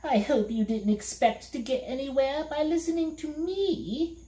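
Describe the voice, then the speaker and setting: Storybook imp: high, gleeful and sneaky, a woman puts him on. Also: normal speed, warm tone, roomy sound, natural voice. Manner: squeaky and gleeful. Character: small furry male demon, sly fibber then sobbing.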